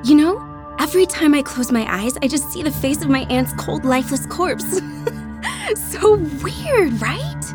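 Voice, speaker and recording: A woman's voice: sits high, sing-song, measured pace, music in the background. A woman playing an eerily carefree, giggly young woman in a comedic horror audio drama, speaking in a breezy, upbeat way. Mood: blithely cheerful.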